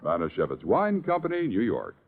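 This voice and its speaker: An American man announcing a commercial in an excited 1950s radio sales style. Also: lowish voice, brisk, soft background music. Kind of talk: excited 1950s radio ad pitch with mock sportscasting. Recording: muffled vintage radio ad recording.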